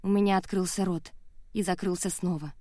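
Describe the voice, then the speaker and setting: A woman's voice: fairly low pitch, steady tone, brisk, dark tone, no echo. A woman audiobook narrator reading a dramatic first-person novel, close on the mic in a studio.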